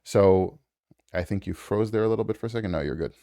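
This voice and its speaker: A man's voice: lowish voice, fast, warm tone, no echo, clean studio sound. A man hosting an online interview, speaking hesitantly with many fillers over a video call. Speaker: interview host. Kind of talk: casual interview.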